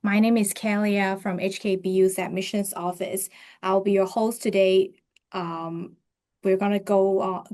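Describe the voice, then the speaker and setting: A woman from a university admissions office opens and guides an online session. She talks in a relaxed, friendly way at a middle pitch, now and then dropping in an um or an uh. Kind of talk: webinar hosting.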